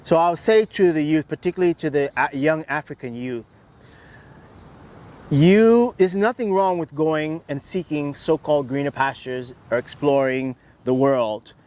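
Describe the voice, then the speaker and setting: A man with a slightly raised pitch shares advice as a guest in a conversational interview. He speaks emphatically, stacking phrases in lists. He often pauses to pose rhetorical questions. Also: a little mellow. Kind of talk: reflective advice-giving conversation.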